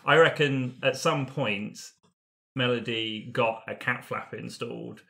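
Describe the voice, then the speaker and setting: A man's voice: mid-range voice, measured pace, vocal fry, sharp tone. A man playing a tabletop roleplaying game, chatting playfully and casually with friends and often chuckling as he talks. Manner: playful, chuckles through sentences. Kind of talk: casual character discussion among friends.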